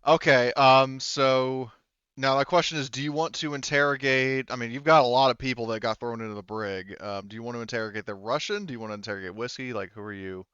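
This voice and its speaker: A man's voice: medium pitch, quick pace, a bit bright, clean tone, no room sound, muffled recording. A man speaking as game master, narrating a tabletop roleplaying game in a conversational way.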